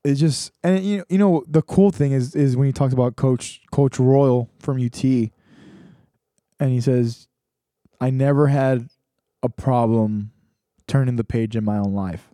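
A man's voice: mid-range voice, steady tone, unhurried, warm tone, dry recording, clean studio sound. A young man hosting a podcast, thinking aloud in a casual reflective monologue with many restarts and fillers. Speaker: podcast host.

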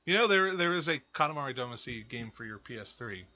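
Halting man with a very bright voice. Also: medium pitch, animated, lo-fi sound. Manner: halting, stammering restarts. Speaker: podcast co-host. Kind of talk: casual chat about games and films.

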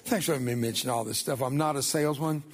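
A man's voice: mid-range voice, quick pace, noisy background. An older man whose first language was Spanish, giving a folksy, emphatic church testimony as a guest speaker.

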